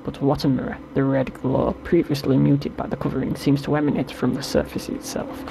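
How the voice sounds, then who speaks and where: Gravelly, mellow man narrating a game. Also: medium pitch, level tone, a little fast. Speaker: gaming show co-host narrating the game. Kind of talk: reading text-based game narration aloud. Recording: noticeable background noise.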